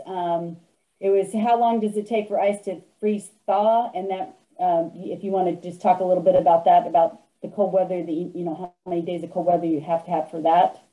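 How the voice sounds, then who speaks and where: Woman moderator, Midwestern accent, very dark mellow tone, hesitant fillers. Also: fairly low pitch, rough recording. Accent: Midwestern American. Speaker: webinar moderator. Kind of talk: relaying audience questions. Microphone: video call.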